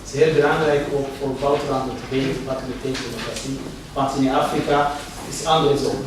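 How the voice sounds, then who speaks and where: A man speaking Dutch as a second language, a foundation representative giving a short speech, mixing in English words and pausing often. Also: mid-range voice, steady tone. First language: not Dutch. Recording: echoey hall from far off, noisy.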